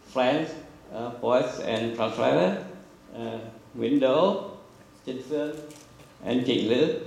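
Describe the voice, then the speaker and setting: An older man with a gravelly, slow voice, speaking English as a second language after Vietnamese. He halts and restarts often while introducing a reading. The sound is heavily echoey, as from a small room picked up from afar. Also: mid-range voice, a little mellow, slight background noise. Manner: hesitant, many fillers and restarts. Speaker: event introducer. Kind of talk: halting introduction at a poetry reading. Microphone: handheld mic. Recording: echoey room, heavy reverb.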